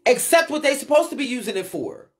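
High-pitched American woman, blunt live-stream commentator, swears freely and hammers points by repetition. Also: expressive, quick pace. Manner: blunt, emphatic, heavy swearing, repeats phrases for emphasis. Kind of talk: unscripted live rant answering viewer comments.

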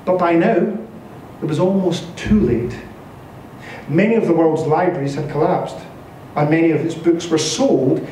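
A man in his thirties reading a literary story aloud with expressive, dramatic delivery. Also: a little high, unhurried. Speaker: story reader at a live literary event. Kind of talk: scripted literary narration read aloud. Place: bare-walled indoor venue, live reading. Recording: echoey room from a distance, faint noise.

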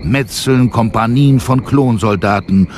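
A man narrating a space war audio drama in a solemn, measured, dramatic way. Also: medium pitch, a little mellow, a little hiss or hum, natural voice. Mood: grave, dramatic. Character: grave storyteller of a space war saga.

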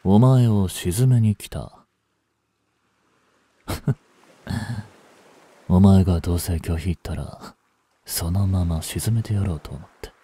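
A man playing a young delinquent in a voice drama, listless and blunt, with rough slangy talk and a caring streak. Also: low voice, slow, pauses often, warm tone, full character voice. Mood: weary, teasing menace. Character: gruff, languid young male delinquent, secretly caring. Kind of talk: Japanese voice drama for female listeners.

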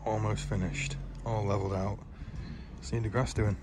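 A man's voice: lowish voice, little pitch movement, normal speed, very noisy. A young man narrating a casual family vlog, blunt and joking, with casual swearing. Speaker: vlogger. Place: car and home, family outings.

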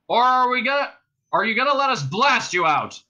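A man's voice: high voice, sing-song, fast, pitched above the performer's own voice, exaggerated voice. A man voicing a self-pitying, gun-happy space cop in a comic sci-fi audiobook, calling out in an earnest, indignant way. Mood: shouted, threatening. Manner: shouting through a bullhorn, earnest and indignant.

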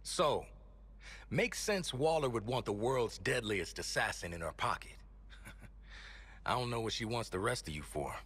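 An actor playing a proud, exasperated assassin in a video game, sarcastic and no-nonsense, with an American accent. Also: male voice, mid-range voice, animated, a little slow, long pauses, fairly bright tone, slightly rough. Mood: smug. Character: proud, exasperated male assassin, irritable and hard-nosed. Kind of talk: video game cutscene acting.

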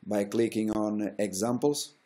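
A middle-aged man who is not a native English speaker, giving unscripted market commentary in halting fragments with drawn-out hesitations and a self-assured manner. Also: on the low side, fairly even intonation, mellow. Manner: self-assured, boastful about results. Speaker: stock trading educator and host. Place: small indoor room.

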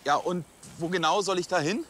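Very high, whiny junior cop. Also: male voice, fairly quick, fairly bright tone, a little hiss or hum. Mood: confused. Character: hapless, confused, whiny junior policeman. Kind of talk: TV crime comedy acting.

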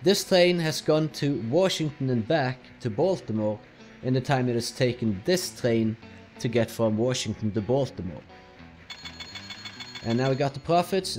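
A young man from Norway with a Norwegian accent, speaking English as a second language while casually commenting on his gameplay step by step. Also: medium pitch. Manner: relaxed, explaining step by step with fillers. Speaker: let's play host.

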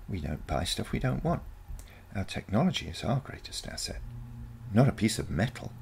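A confident teenage granddaughter from a storybook future, acted out by a male reader. The delivery is lively and swooping with heavy creak, yet matter-of-fact and unconcerned. There is clear noise behind it. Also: lowish voice, pitched above the performer's own voice, heavily acted. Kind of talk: storybook character dialogue.

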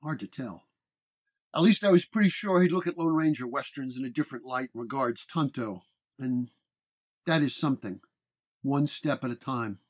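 A man's voice: mid-range voice, moderate pace, close to an everyday voice. An actor playing a weary, wounded 1930s detective who dramatically narrates his case report. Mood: weary, reflective. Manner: dramatic first-person storytelling. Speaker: narrator-performer.

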